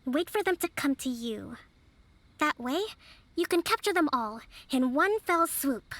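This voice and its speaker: A woman voicing a calm young strategist who leads with polite, measured diplomacy in a game. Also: high-pitched, no echo, heavily acted. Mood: composed. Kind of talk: game voice acting.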